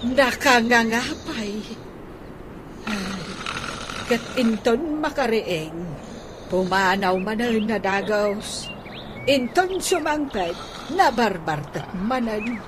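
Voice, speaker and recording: A woman with an Ilocano accent playing an aggrieved, nagging older housemaid in a radio comedy drama, full of halting interjections. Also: a little high, lively intonation. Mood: exasperated. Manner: halting, peppered with sighing interjections. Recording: noisy background with ambient bird sounds.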